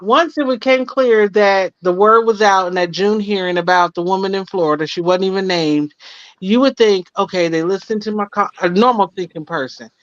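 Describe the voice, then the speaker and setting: A woman's voice: mid-range voice, moderate pace, no room sound. A woman in casual panel conversation, heard over slightly dull livestream call audio. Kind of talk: casual speculative chat. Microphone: video-call livestream.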